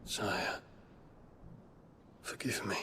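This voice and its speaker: A man's voice: very slow, slight background noise. A young man with a British accent playing a noble, earnest warrior prince in a game cutscene, formal and wounded in manner. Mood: subdued. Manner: formal, intense, often hushed and pleading. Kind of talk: dramatic video game cutscene acting.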